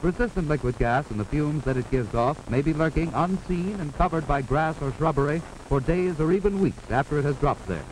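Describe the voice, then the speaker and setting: An American man narrating a 1940s instructional film in a formal, authoritative, measured way, on an old film soundtrack. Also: on the high side, expressive, a little hiss or hum, poor audio. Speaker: narrator of a wartime civil defense instructional film.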